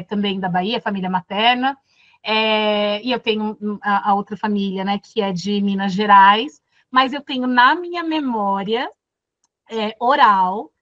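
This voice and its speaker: A woman's voice: mid-range voice, fairly bright tone, no echo, lo-fi sound. A woman from Brazil leading a course session, speaking thoughtfully with many fillers and restarts. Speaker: course facilitator. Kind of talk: casual classroom talk.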